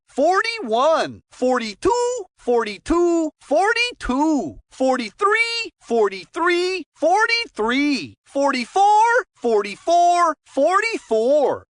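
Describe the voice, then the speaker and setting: Very high-pitched cartoon hockey commentator. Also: female voice, a bit bright, no room sound, studio quality, exaggerated voice. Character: Buddy Cheque, excitable cartoon kids' hockey game commentator. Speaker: sports commentator character. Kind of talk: recorded video game number callouts in varied intonations.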